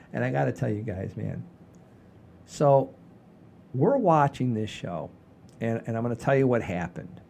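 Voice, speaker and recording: A man in his sixties with a soft-edged, mellow voice at a middling pitch hosts a comedy podcast. He chats casually, like someone telling stories at home, leaning on repetition for emphasis and cursing without fuss. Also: very animated, a little slow, gravelly, long pauses, slight background noise. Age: 60s. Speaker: podcast host. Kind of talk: casual comedic storytelling chat.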